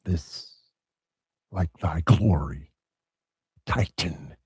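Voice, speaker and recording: A man's voice: expressive, darkish tone, dry recording, studio quality, heavily acted. A man voicing Demogorgon, a vast primal power, in a solemn dramatic verse reading. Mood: grave. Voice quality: deep, husky, slow and solemn.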